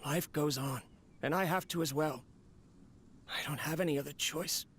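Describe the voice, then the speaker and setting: Man acting a weary, higher-pitched lonely inventor, noisy recording. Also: normal speed, fairly bright tone, lightly acted. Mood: resigned. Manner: wistful, sighing, confessional. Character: weary, lonely male inventor confiding in his machine. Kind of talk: dramatic video game voice acting.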